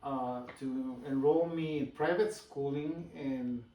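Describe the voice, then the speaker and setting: A man in his sixties from Guatemala with a Spanish accent, telling his story haltingly in a casual interview. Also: mid-range voice, fairly even intonation, dark tone, roomy sound, recorded from a distance, poor audio. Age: 60s. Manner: halting, personal storytelling with pauses and restarts. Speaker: business owner guest.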